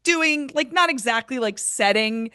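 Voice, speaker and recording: Clear, smooth-voiced woman chatting casually. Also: a little high, lively intonation, a little slow, bright, forceful tone, no room sound, studio quality. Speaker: interview guest, show producer. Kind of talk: casual chat.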